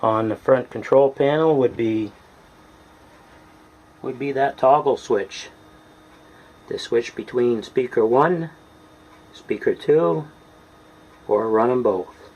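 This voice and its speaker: Slow-paced man giving a hands-on demo. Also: mid-range voice, a little mellow, dull audio. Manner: halting, deliberate, plain-spoken. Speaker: hobbyist presenter demonstrating radio gear. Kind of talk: casual hands-on tutorial.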